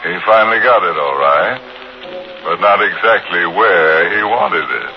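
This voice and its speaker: An actor playing a wry, mock-sinister host of a 1940s radio mystery show. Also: male voice, deep voice, lively intonation, unhurried, over music. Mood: ghoulish amusement. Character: sardonic, macabre male mystery-show host. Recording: dull, noisy 1940s radio broadcast.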